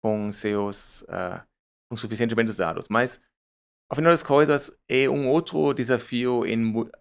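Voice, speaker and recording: A man's voice: mid-range voice, a little slow, gravelly, clean tone. A man from Germany speaking with a German accent, a startup founder answering interview questions in a hesitant way, often repeating words and mixing in English. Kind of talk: casual interview talk.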